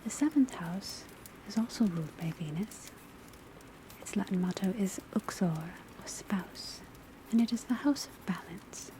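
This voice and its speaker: A woman playing a calm, studious witch academy professor, lecturing in a soft, breathy, mostly whispered ASMR style with measured, deliberate delivery. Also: mid-range voice, natural voice, low-quality recording. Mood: calm, instructive. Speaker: teacher lecturing a class. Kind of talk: ASMR classroom roleplay, soft-spoken lecture. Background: faint patter of rain on rooftop.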